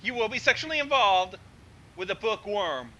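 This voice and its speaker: A woman's voice: medium pitch, expressive, normal speed, sharp tone. A woman guest on a reading show, joking casually. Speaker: guest co-reader.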